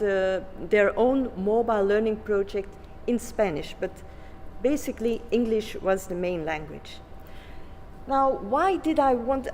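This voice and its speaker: A woman with a Flemish accent gives a conference talk, speaking haltingly with many fillers and restarts. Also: on the high side, dark tone, slight background noise. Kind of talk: conference presentation. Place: conference hall. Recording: long reverb in a conference hall.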